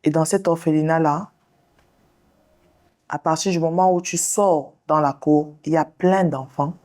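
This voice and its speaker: Low-voiced woman guiding a calm exercise. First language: English. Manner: slow, soothing, directive guidance. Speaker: sex therapist and show host. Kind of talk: guided visualization and counseling talk.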